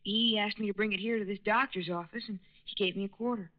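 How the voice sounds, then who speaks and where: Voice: medium pitch, fairly even intonation, fast talker, close to an everyday voice. A performer playing a polite, timid young boy in a 1940s radio crime drama. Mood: polite, unsure. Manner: hesitant, deferential. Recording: muffled old radio broadcast recording.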